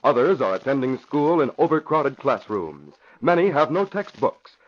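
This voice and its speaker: A man's voice: mid-range voice, very animated, moderate pace. An American man narrating and announcing in emphatic, formal 1940s radio style. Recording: dull vintage radio recording.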